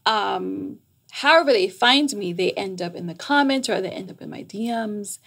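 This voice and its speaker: Husky, creaky-voiced woman podcast guest. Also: medium pitch, expressive, moderate pace, a bit bright. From Jamaica, raised in New York. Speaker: guest, psychotherapist. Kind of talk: conversational podcast interview. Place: small foam-treated podcast studio. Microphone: close boom-arm studio microphone.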